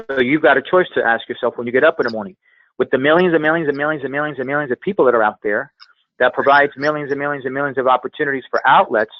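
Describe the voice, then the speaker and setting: A man's voice: mid-range voice, dry recording. A man giving a motivational sales coaching talk on a conference call, speaking emphatically and repeating phrases for effect. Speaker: sales trainer, guest speaker. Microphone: telephone. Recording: tinny, band-limited phone conference line.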